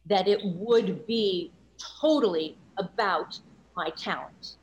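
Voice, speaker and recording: A woman's voice: medium pitch, takes it slowly, rough recording. Earnest woman guest reflecting candidly. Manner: earnest, reflective, many "you know" asides. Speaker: voice-over coach and guest. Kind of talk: candid interview talk.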